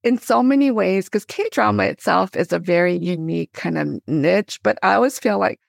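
Middle-aged woman from Korea, gravelly fry, enthusiastic podcast guest, close dry sound. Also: medium pitch, moderate pace, no room sound. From born in Korea, immigrated in 1975. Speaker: podcast guest, theologian and teacher. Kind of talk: conversational interview.

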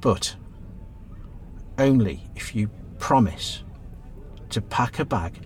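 An actor plays a middle-aged British cab driver in a radio drama. He is reassuring and plain-spoken, with very varied intonation at a medium male pitch. There is faint rumble in the background. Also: animated, slow pace. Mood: kindly, insistent. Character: kindly, encouraging male taxi driver. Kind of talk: radio play acting.

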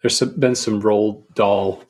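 A man's voice: on the low side, fairly even intonation, takes it slowly, a little mellow, husky. A middle-aged man talking casually and thoughtfully as a podcast guest, feeling his way through his sentences. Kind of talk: casual reflective podcast conversation.

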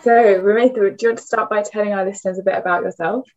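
Woman interviewer, quick and encouraging, dull lo-fi recording. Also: medium pitch, steady tone, a little mellow. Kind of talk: conversational interview. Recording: dull lo-fi sound.

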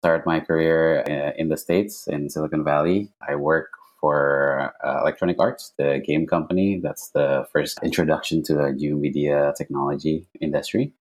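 A man from Indonesia answering interview questions in an unhurried way, with frequent fillers and restarts. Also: very deep voice, fairly even intonation. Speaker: podcast guest, startup founder.

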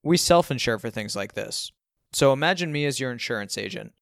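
A man plays a dismissive businessman father who never raises his voice. He is calm, logical and matter-of-fact, in a smooth, clear audiobook delivery. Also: medium pitch, a little fast, dry recording, studio quality. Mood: unmoved. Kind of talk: audiobook character dialogue.

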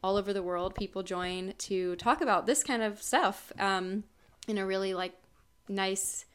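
Smooth-voiced chatty woman host. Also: mid-range voice, fairly even intonation, unhurried. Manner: earnest, chatty, laughs often. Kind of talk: casual conversation with her father.

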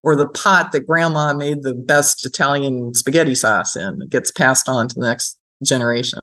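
A woman's voice: very deep voice, normal speed, a bit bright, low-quality recording. A middle-aged woman talking conversationally as an interviewed academic, explaining reflectively and often checking in with the listener. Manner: reflective, explanatory, checks in with "right?" and "you know". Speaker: gerontology professor being interviewed. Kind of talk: conversational interview, personal storytelling.

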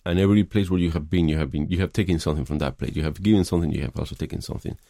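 A middle-aged man with a Spanish accent speaks in a deep, gravelly, mellow voice. He answers interview questions thoughtfully and often pauses on fillers. The sound is close and dry. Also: no echo. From Spain. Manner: thoughtful, hesitant, many fillers. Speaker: film director.

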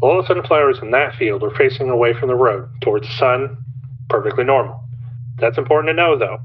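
A man's voice: mid-range voice, animated, fairly quick. Over a thin, faintly noisy phone line comes an actor as a man calling late-night radio. He is earnest and rattled, spinning an eerie account in a folksy, insistent way. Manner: folksy, insistent, asks rhetorical questions. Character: rattled, earnest male radio caller telling of menacing sunflowers. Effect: phone-call filter. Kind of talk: dramatic eerie storytelling on a radio call-in.

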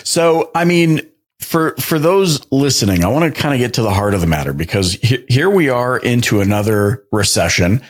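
A man hosting a podcast, upbeat and chatty, with lively jumps in pitch as he introduces and questions his guest. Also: moderate pace. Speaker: podcast host. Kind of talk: casual interview chat.

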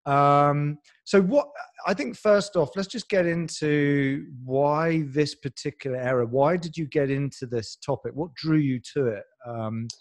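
A man's voice: a little high, unhurried. A man hosting a science podcast, talking enthusiastically and at length with many fillers and restarts. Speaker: podcast host. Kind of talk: casual podcast interview.

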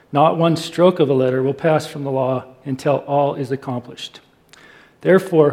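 Mellow, higher-pitched man reading scripture. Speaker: volunteer scripture reader.

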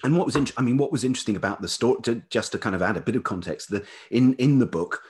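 A man speaking as an interview guest in reflective, casual conversation, halting thoughtfully as he searches for words. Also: medium pitch, a little mellow, slightly rough. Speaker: interview guest, writer and musician.

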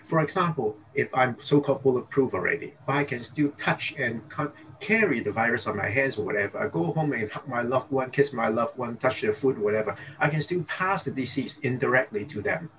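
A man's voice: mid-range voice, expressive, a little fast, few pauses, a bit bright, slight background noise, rough recording. A man from Hong Kong, a dentist, talking casually as an interview guest and tagging his points with "okay?" and "you know". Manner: chatty, peppers speech with "okay?" and "you know".

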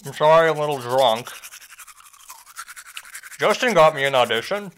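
An actor playing an insecure, self-pitying stand-up comedian in a radio play, speaking in a halting, conversational way. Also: male voice, a little high, lively intonation, fairly bright tone, faint music behind, poor audio. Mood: weary, tipsy. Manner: halting, conversational, with repeated words. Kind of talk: naturalistic radio play dialogue.

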